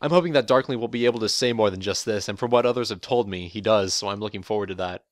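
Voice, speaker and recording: A young man with a deep voice, a voice actor giving a prepared, relaxed, matter-of-fact account of his role. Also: fast talker, dry recording, studio quality. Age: young adult. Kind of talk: prepared interview monologue. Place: college dorm room.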